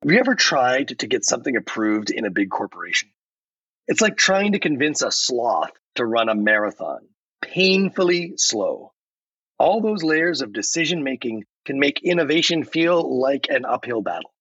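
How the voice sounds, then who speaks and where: Husky, animated man playing an AI chatbot. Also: full character voice. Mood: upbeat, explanatory. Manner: measured, explanatory, enumerates points. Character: helpful, upbeat AI chatbot guest with chatty similes. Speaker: podcast guest. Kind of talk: scripted explanatory answers. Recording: roomy, muffled low-fidelity recording.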